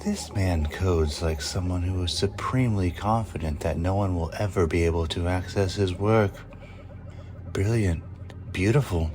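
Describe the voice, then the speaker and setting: A man's voice: low voice, darkish tone. A man playing a cool, cocky hustler of a hacker in a radio drama, sly, casual and persuasive. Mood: impressed.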